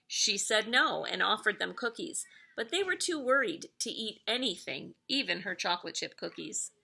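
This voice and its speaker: Woman, middle-aged, school read-aloud narrator; bright tone with noticeable creak, expressive storytelling delivery. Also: medium pitch, normal speed. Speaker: elementary school staff member reading aloud to pupils.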